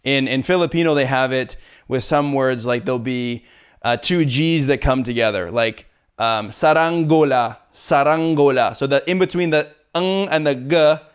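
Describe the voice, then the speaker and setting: A man's voice: mid-range voice, normal speed, clear, resonant tone. A man hosting a casual interview, chatty and loose, with many fillers and easy laughs. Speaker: interview host.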